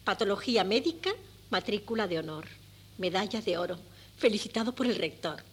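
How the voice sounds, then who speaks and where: A woman's voice: medium pitch, lively intonation, a little fast, lightly acted. A woman with a Castilian Spanish accent playing a doting, proud, naively chatty middle-aged mother in a radio play. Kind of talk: 1970s Spanish radio theatre acting. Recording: noisy 1979 radio cassette recording.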